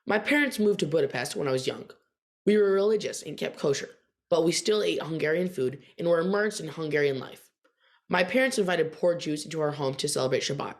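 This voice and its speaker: A woman as an innocent boy, lowish voice. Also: animated, a little fast. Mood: reminiscing. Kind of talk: theatrical first-person storytelling. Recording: faint music underneath.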